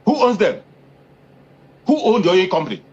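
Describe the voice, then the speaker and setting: A man's voice: big pitch swings, normal speed, over a noisy crowd. A man, speaking as an activist in an interview, emphatic, repeating phrases for force. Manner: emphatic, repeats phrases for force, rising pitch when stressing points. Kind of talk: impassioned political interview talk. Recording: dull lo-fi audio.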